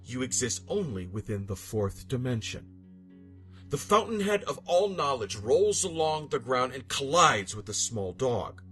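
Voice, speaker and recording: A man narrates a scripted horror story in a mid-pitched voice. His delivery is theatrical and solemn, with deliberate phrasing. Music plays behind him. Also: very animated, fairly bright tone. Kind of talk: dramatic scripted creepypasta narration. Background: background music.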